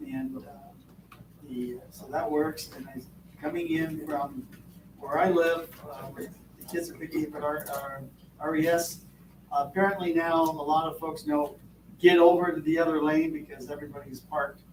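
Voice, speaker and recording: A man's voice: a little high, steady tone, moderate pace, distant mic. A man from Vermont on a school board, giving rambling, folksy remarks with many fillers. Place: school board meeting room. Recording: echoey room, mic from afar, background noise.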